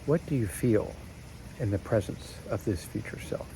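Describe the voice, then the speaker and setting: A man narrating a guided meditation in a slow, soothing way with long pauses. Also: lowish voice, animated, creaky, background noise.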